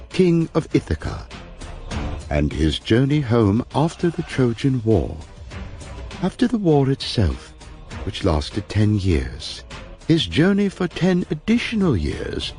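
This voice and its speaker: A man's voice: medium pitch, darkish tone. A man reading a scripted literary introduction as an audiobook narrator.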